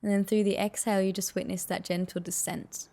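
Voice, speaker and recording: A woman leading a guided meditation with soft, soothing, unhurried and gently encouraging instruction. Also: medium pitch, flat delivery.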